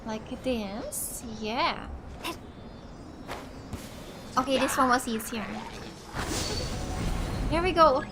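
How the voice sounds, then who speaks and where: High-pitched woman doing let's play commentary. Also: lively intonation, takes it slowly, background noise. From Finland. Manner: reacts aloud, thinking out loud to herself. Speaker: gaming YouTuber. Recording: game music behind the voice. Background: video game music.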